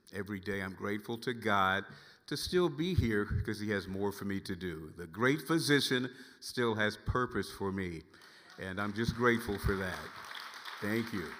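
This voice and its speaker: A man's voice: lowish voice, moderate pace, a bit bright. A man in his sixties, a former radio host, giving an earnest, grateful speech at a gala. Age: 60s. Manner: grateful, earnest, thanks the applause. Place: large banquet hall. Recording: long hall reverb.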